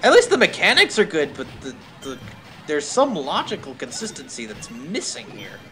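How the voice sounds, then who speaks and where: Animated, higher-voiced man streaming. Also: normal speed, over loud music. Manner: wry, self-interrupting. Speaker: streamer, host. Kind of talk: reading fan fiction aloud with casual asides.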